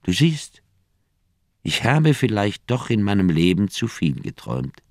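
An actor playing a troubled older surgeon in a radio crime drama, recounting in a deliberate, reflective manner with dramatic pauses. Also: male voice, on the low side, big pitch swings, dry recording, clean studio sound. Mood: confiding, firm.

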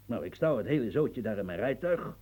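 An actor playing a proud, coarse old French marquis and marshal in a radio play, gruff and boastful, muttering one moment and shouting the next. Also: male voice, medium pitch, animated, brisk, lightly acted. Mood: scheming. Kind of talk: radio drama acting.

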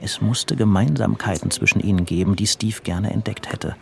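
A man's voice: lowish voice, normal speed, vocal fry, darkish tone, slight background noise. A man narrating a scripted literary story as the narrator of an audio drama. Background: music.

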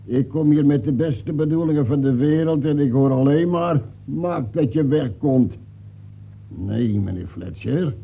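Gravelly, husky storybook villain. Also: male voice, fairly high pitch, measured pace. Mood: insolent, insistent. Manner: slangy underworld talk, insolent mock politeness. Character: bloodthirsty villain from a manuscript, rough, pleading, cunning crook. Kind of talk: radio play acting. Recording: muffled, phone-like old radio recording.

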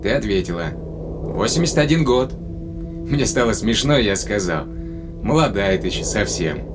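Coaxing starship captain, music behind. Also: male voice, on the low side, very animated, moderate pace. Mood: nostalgic. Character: male spaceship captain, long-lived, tenderly probing his companion. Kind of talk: Russian audio drama acting.

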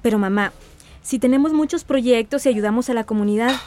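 A high-voiced small-town daughter acted by a woman, sensible and patient. She reasons earnestly and protests gently in a radio soap, with faint noise behind her. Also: a little fast, lightly acted. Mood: earnest, persuading. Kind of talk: radio soap opera acting.

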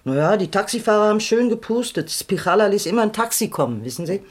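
Actress playing a chatty older housewife neighbour. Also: female voice, mid-range voice, animated, quick pace, a little hiss or hum, lightly acted. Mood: insistent, chatty. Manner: chatty, homely, rambling. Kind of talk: German radio crime drama acting.

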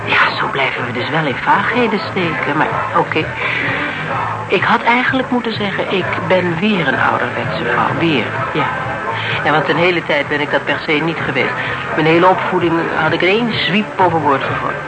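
A woman's voice: low voice, animated, normal speed, poor audio. Radio drama role: candid, principled woman, reflective and hesitant, music strong behind her. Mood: musing, earnest. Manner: hesitant, musing, self-correcting. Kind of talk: naturalistic radio drama dialogue.

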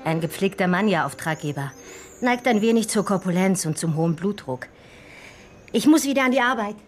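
A woman's voice: medium pitch, expressive, fairly quick, soft background music, close to an everyday voice. An actress playing a prim young secretary in her twenties in a radio crime drama, speaking in a matter-of-fact way. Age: 20s.

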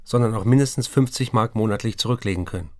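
An affectionate, self-doubting writer played by an actor speaks his letters in a radio play. The voice is on the low side, intimate and earnest, with a dry studio sound. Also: fast talker, dry recording, close to an everyday voice. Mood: earnest, reckoning. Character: affectionate, self-doubting writer aged thirty-five writing love letters.

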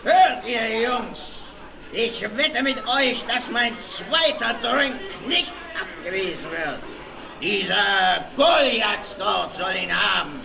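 An actor playing a brash, drunken, menacing bandit gang leader with a taunting, swaggering manner in a 1960s German radio play. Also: male voice, sits high, expressive, slow, vocal fry, a crowd in the background, heavily acted. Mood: swaggering, goading. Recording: vinyl record transfer with faint noise.

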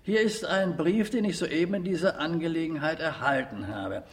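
An actor playing a stern but courteous committee president, questioning with formal authority in a radio drama. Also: male voice, on the high side, normal speed, natural voice. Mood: official. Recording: hall with long reverb.

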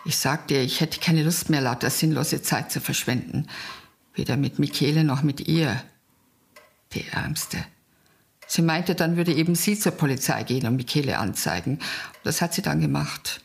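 A weary aunt being questioned in a crime drama. Her voice is heavily creaky, and she talks bluntly, with dry indifference. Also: sits low, moderate pace. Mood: blunt, indifferent. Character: weary, indifferent, plain-spoken aunt of delinquent boy. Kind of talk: German radio crime drama acting.

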